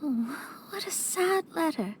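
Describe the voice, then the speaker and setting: High, curious little girl. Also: animated, takes it slowly, a little hiss or hum. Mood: sad. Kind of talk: anime-style game voice acting.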